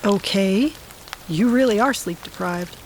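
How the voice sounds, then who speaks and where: A woman's voice: mid-range voice, a little slow, a little hiss or hum, natural voice, rough recording. A woman playing a wry, sardonic, restless character who talks in plain colloquial phrases in an audio drama. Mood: wry, surprised.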